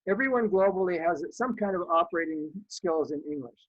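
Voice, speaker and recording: A man's voice: high-pitched, animated, a little fast, dry recording, dull audio. A middle-aged man from Canada whose first language is German, a guest telling reflective stories in an interview. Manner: reflective, anecdotal, with frequent restarts. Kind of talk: conversational interview storytelling.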